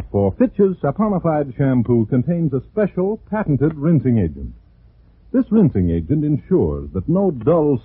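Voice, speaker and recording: An American man working as a 1940s radio announcer, delivering a polished sponsor sales pitch. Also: husky, no echo. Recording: muffled vintage 1940s radio recording.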